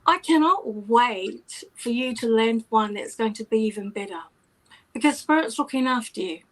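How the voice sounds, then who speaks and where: A woman with a soft voice giving a psychic reading, affectionate, sometimes dropping to a whisper. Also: a little high, normal speed, poor audio. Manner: warm, affectionate, drops to whispers. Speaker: psychic reader.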